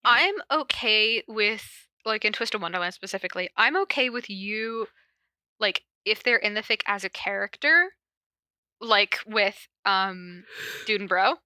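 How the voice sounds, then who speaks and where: A woman's voice: mid-range voice, unhurried, sharp tone, smooth, no room sound, studio quality. A woman hosting a podcast, chatting casually and reading notes aloud in a playful, giggly manner. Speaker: podcast host.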